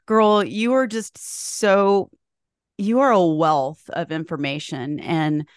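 A woman's voice: low-pitched, clean tone, dry recording, clean studio sound. A woman hosting a podcast interview, talking in a chatty, rambling, friendly way. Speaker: podcast host. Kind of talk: casual interview chat.

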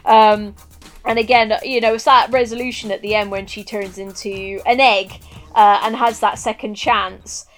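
A woman's voice: medium pitch, steady tone, moderate pace, soft background music. A woman co-hosting a podcast in casual chat, enthusiastic and rambling, with plenty of fillers. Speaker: podcast co-host.

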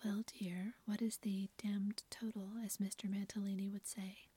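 A kind young husband, whispered by a woman reader in a storybook, all breath and no voiced tone. The delivery stays even, and the sound is close and dry. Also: medium pitch, unhurried, breathy edge, no room sound. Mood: good-humoured. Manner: whispered throughout.

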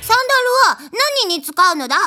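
A woman's voice: high-pitched, lively intonation, fast talker, sharp tone, faint music behind, full character voice. A woman playing a small, childlike messenger god, cheeky, smug and unapologetic, with cutesy phrasing in a comedic audio drama. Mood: curious, insistent.

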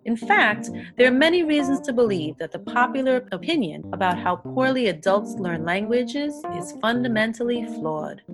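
A woman host reads her scripted opening in a clear, deliberate way, putting rhetorical questions to the listener. Her voice is mid-pitched, and guitar music plays clearly behind her. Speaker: podcast host. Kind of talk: scripted narration and interviewing.

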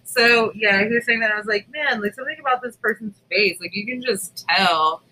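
A woman co-hosting a podcast in casual chat, reacting in an informal, animated way and acting out what people said. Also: mid-range voice, a little fast, poor audio. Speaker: podcast co-host.

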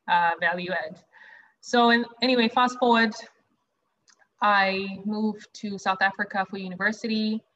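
A woman's voice: mid-range voice, a bit bright, muffled recording. A young woman from Zimbabwe, a guest on a video call, telling her life story in a chatty, candid way with many fillers and restarts. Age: young adult. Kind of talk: personal testimony on a video call.

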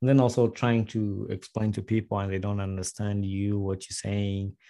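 Low-pitched young guy from uganda, chatty interview guest, fast and rambly. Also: little pitch movement, warm tone. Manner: quick, rambling, with restarts and fillers. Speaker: guest, aircraft mechanic. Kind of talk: casual conversational interview.

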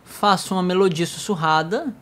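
A man's voice: high voice, moderate pace, over a noisy crowd. A man with a nasal voice and a Brazilian Portuguese accent, a worship songwriter talking casually and reflectively about how he writes songs. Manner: reflective, storytelling with quoted dialogue. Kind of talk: casual chat.

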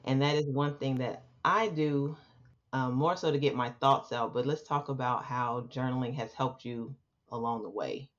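A woman's voice: extremely low pitch, moderate pace. A woman hosting a podcast, chatting with a friendly, upbeat manner as she welcomes and interviews a guest. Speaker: podcast host. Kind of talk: casual interview chat. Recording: dull, lo-fi podcast audio.

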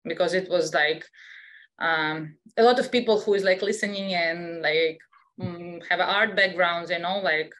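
A woman's voice: on the low side, a little slow, a bit bright, poor audio. A woman with a Russian accent, a guest on an interview show, chatting casually with lots of fillers and restarts. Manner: chatty, many fillers and restarts, non-native grammar. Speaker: guest, scientific illustrator.

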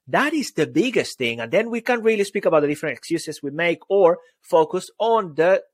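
A man with a Venezuelan Spanish accent co-hosting a podcast, energetic and playful in casual chat. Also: brisk, no room sound, studio quality. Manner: energetic and playful, exclaims "boom". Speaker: podcast co-host. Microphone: studio microphone.

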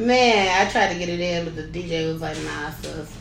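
A woman's voice: lowish voice, normal speed, gravelly. A middle-aged woman co-hosting a casual chat show, telling stories with lots of laughter. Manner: laughing, chatty storyteller. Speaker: co-host. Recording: roomy, a bit distant, faint noise.

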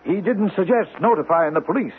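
An actor playing a measured, inquiring male doctor with a husky voice and deliberate questioning, in an old-time radio mystery on a narrow-band recording. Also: high-pitched, slight background noise, natural voice. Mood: probing. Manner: deliberate, hesitant, methodical questioning.

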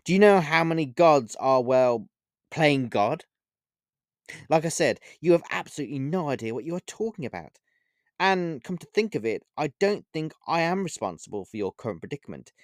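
Audiobook witch, male reader: scornful and playful, lifted pitch, very animated, stretching words to taunt. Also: clear, resonant tone, dry recording, studio quality. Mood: scornful, angry. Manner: mocking, drawn-out emphatic phrasing. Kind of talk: audiobook character voice.

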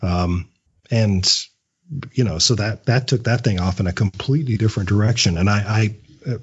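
A man's voice: on the low side, normal speed, husky, lo-fi sound. A man giving casual, reflective interview answers as a guest writer, often repeating words as he thinks. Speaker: podcast guest, audio drama writer; script writing teacher.

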